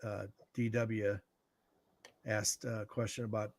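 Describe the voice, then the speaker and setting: Halting middle-aged American man explaining. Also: lowish voice, level tone. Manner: halting, thinking aloud. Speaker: guest radio expert. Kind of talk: informal explanatory talk.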